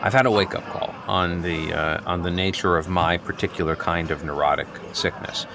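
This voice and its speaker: A man playing an earnest, neurotic, self-absorbed friend in an improvised radio comedy, halting and over-explaining. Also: deep voice. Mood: defensive, earnest. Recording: clearly noisy background ambience.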